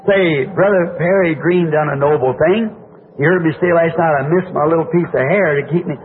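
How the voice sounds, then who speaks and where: Hoarse older preacher on old tape. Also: male voice, on the high side, brisk. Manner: folksy, rambling, asks the congregation questions. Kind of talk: sermon. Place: church meeting. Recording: tinny lo-fi old tape with faint hiss.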